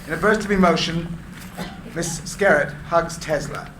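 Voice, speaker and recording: A man's voice: a little high, a little slow, fairly bright tone. A man reading screenplay stage directions aloud as dramatic narration at a live reading. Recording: echoey room, clear background noise.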